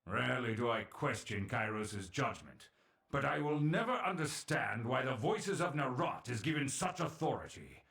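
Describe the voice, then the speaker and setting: A fantasy game's military commander, proud and stern. He speaks in a formal, measured manner at a middling male pitch. His bearing is imperious and disdainful of those allied to him. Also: gravelly, a bit bright, heavily acted. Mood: disdainful, brooding. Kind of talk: fantasy video game voice acting.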